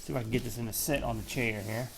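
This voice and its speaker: A man's voice: medium pitch, fairly even intonation, very fast, vocal fry, noisy background. A man hosting a retro gaming show, giving casual, wry unboxing commentary in folksy slang as he thinks aloud while handling items.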